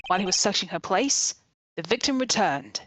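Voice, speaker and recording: A woman's voice: medium pitch, lively intonation, brisk, fairly bright tone, slight background noise, rough recording. A woman hosting a let's play, reading scripted game narration aloud. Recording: music behind the voice. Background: game music.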